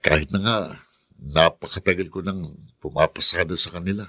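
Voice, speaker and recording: A kindly working father in a radio play. His voice is low and sharp, close and dry, and he perseveres through hardship. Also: moderate pace, no room sound, exaggerated voice. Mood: grateful, troubled. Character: struggling, kindly tricycle-driver father, persevering. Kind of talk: radio drama acting.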